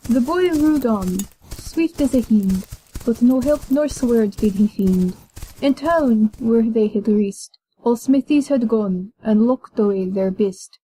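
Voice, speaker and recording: Young woman reading an old timey tale, real slow, soft dark tone, music behind. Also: fairly high pitch, animated, slight background noise, low-quality recording. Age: young adult. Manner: measured, declamatory. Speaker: storyteller, college student. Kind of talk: scripted narration of an archaic verse tale. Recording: music under the voice.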